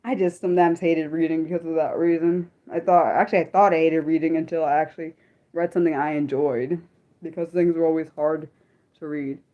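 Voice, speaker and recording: A woman in her thirties hosting an unscripted livestream, chatting casually with viewers and reading comments, rambling and correcting herself as her energy swings between excited and subdued. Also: deep voice, brisk, dull audio. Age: 30s. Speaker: livestream host.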